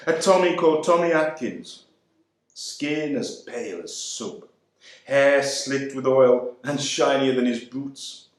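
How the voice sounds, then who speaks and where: A man performing a dramatic literary monologue in a measured, deliberate, emphatic way. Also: fairly high pitch. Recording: very echoey room.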